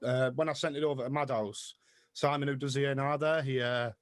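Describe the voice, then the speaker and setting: A young man from Middlesbrough with a Teesside accent, a music producer chatting as a guest in an interview, rapid, self-mocking and quick to laugh. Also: medium pitch, steady tone, no echo, studio quality. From Middlesbrough, England. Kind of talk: casual interview chat.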